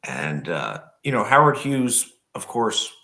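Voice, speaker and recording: A hoarse man hosts a podcast in a fairly low voice, still getting over an illness. He chats in a relaxed way, reads from notes and fills pauses as he goes. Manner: relaxed, chatty, with frequent fillers. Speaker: podcast host. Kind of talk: casual chat, reading notes aloud.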